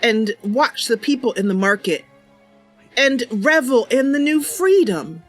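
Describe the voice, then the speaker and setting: A woman streamer hosts a roleplay game in a chatty, welcoming way. Her voice is on the high side and very animated, taking its time, with music behind. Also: unhurried. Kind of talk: casual livestream game commentary with narration.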